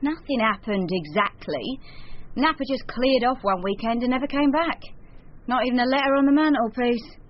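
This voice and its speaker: Brash, high-voiced London wife. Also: female voice, lively intonation, moderate pace. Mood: dismissive. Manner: blunt, offhand, colloquial. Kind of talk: radio drama acting. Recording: muffled radio recording.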